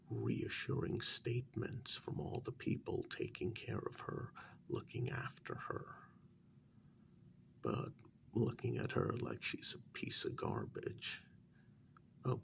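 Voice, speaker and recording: A man acting as a stern interrogator in a vampire ASMR role-play. His soft voice is low and heavily fried, slipping toward a whisper as he probes with dry sarcasm. Faint noise sits behind him. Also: vocal fry, full character voice. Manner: halting, probing, sarcastic, drifting into whispers. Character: stern, sardonic male interrogator of an imprisoned vampire.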